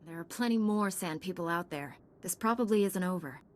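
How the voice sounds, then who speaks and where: A woman playing a composed, dutiful knight in a video game, measured and assured. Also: on the low side, close to an everyday voice.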